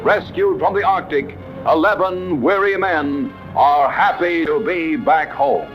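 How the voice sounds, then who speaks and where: A man's voice: a little high, unhurried. Man, American accent, vintage newsreel narrator, dramatic delivery, dull lo-fi soundtrack, music underneath. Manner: dramatic, declamatory announcing. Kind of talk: 1950s newsreel scripted narration. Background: newsreel background music.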